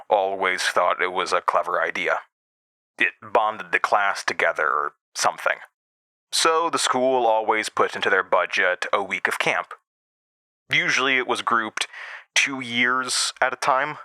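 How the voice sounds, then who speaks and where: Horror audio drama lead: wry male storyteller recalling boyhood, lowish pitch, crisp edgy tone. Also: bright, forceful tone, no room sound. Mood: wry recollection. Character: adult man recalling uneasy boyhood horror at camp. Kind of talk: scripted first-person horror drama narration.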